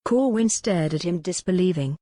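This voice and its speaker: A woman's voice: on the low side, expressive, moderate pace, warm tone, dry recording, clean studio sound. A woman reading a historical romance novel as scripted audiobook narration.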